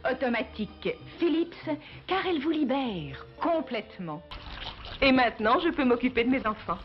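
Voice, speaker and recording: A high-pitched housewife from an old appliance commercial. She presents like a vintage advert, on a dull recording with faint music underneath. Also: expressive, normal speed. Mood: pleased. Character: liberated housewife praising her automatic washing machine. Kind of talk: vintage French advertisement acting.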